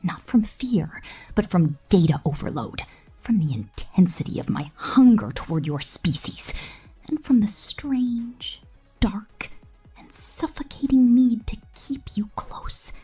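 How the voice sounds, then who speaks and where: A woman voicing a possessive, cold female killer drone robot in a breathy, slow, menacing whisper for an ASMR roleplay. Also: mid-range voice, big pitch swings, dark tone, faint music behind, full character voice.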